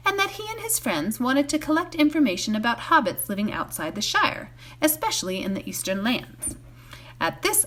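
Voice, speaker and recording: A woman reading a storybook aloud as a volunteer, expressive, occasionally stumbling and correcting herself. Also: medium pitch, breathy edge. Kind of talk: read-aloud storybook narration.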